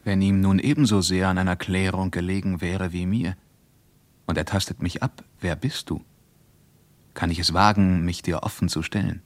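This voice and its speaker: A man plays a middle-aged German writer who was once a soldier. The voice is deep and very dry in the recording. He is sober and wary, speaking in terse, factual phrases. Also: little pitch movement, moderate pace, no echo, natural voice. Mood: brooding, hesitant. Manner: terse, matter-of-fact. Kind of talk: German radio play dialogue.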